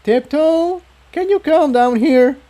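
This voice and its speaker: A man voicing an eager young female pony in a high voice for a fantasy story reading. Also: takes it slowly, dark tone. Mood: bright, curious. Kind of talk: acted storybook dialogue.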